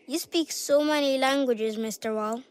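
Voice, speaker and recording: A woman's voice: sits high, moderate pace, a little hiss or hum, lightly acted. A woman playing a friendly, curious, chatty young child in a children's audio drama, polite and matter-of-fact. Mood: amazed.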